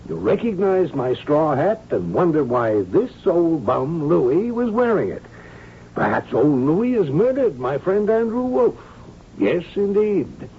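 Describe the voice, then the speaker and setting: A sly older ex-convict speaks with mock courtesy and theatrical relish. The voice sits a little high, swings widely and crackles at the edges, in an old, muffled radio drama. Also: male voice, big pitch swings, unhurried. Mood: teasing. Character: sly, genial, theatrical retired male crook, older. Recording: dull, noisy old radio broadcast.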